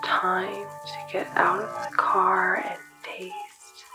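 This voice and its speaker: An actress playing a haunted young woman murmuring dreamlike visions in a breathy whisper, in an audio drama. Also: lowish voice, completely flat delivery, music in the background, low-quality recording. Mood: trancelike. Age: young adult. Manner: hushed, slow, trancelike. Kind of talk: whispered inner monologue.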